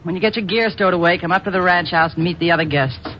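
A woman's voice: fairly low pitch, quick pace, soft background music, lightly acted. An actress playing a brusque, no-nonsense woman who owns a ranch in a 1950s radio drama. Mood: businesslike. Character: tough, curt woman ranch owner. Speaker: radio actor. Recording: muffled, hissy old radio recording.

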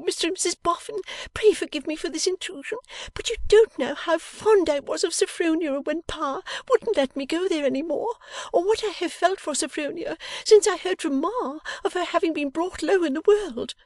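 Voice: very high voice, expressive, moderate pace, a little mellow, no echo, clean studio sound, voice pushed up. A reader voicing a silly, tearful young lady who gushes breathlessly while sobbing. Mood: tearful gushing.

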